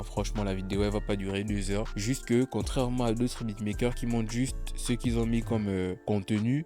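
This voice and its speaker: A beatmaker talks through his production in a loose, unhurried way, pausing often to find his words. His voice sits a little low and sounds mellow and soft-edged, with a slightly stuffy tone from a cold. Quiet music plays faintly behind him. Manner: laid-back, chatty, many hesitations. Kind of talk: casual tutorial narration.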